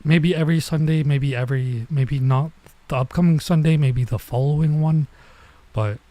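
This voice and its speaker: A man doing casual let's-play commentary as a streamer, thinking aloud in a halting way and mixing in Japanese phrases. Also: fairly high pitch, dark tone, dry recording.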